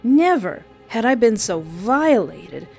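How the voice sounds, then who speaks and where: A woman's voice: a little high, sing-song, slow, vocal fry, darkish tone. A woman video host giving scripted commentary with comic asides, leaning on single words with dramatic emphasis. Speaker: video host, visual novel streamer. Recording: background music under voice. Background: music.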